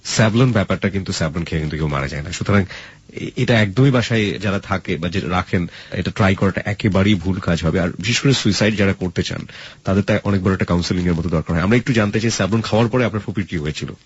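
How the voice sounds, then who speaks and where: Fast-talking husky male radio host. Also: sits low, dull audio. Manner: interjects with hm-hms, mixes in English phrases. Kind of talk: conversational interview hosting, addressing listeners.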